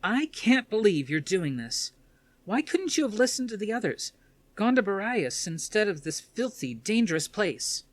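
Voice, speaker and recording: A man's voice: sits high, big pitch swings, moderate pace, dry recording, pitched above the performer's own voice. A man voicing an agitated, anguished young woman, a commander's daughter, in a dramatic story reading. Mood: angry, accusing.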